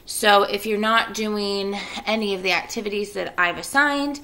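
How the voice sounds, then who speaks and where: A woman's voice: medium pitch, normal speed, sharp tone, poor audio. A woman speaking as an elementary school teacher, addressing and reading to children. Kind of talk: children's read-aloud and casual class announcements.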